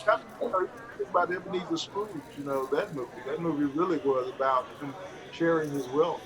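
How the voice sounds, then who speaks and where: A man's voice: on the high side, fairly quick, recorded from a distance, crowd noise, low-quality recording. A man giving his views casually as a panelist in a group discussion.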